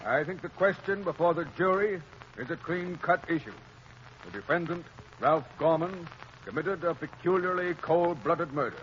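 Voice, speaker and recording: Stern male judge, played by a man, formal and authoritative, slightly high voice, muffled 1930s radio drama. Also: animated, moderate pace, background noise, natural voice. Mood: stern, measured. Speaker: radio actor.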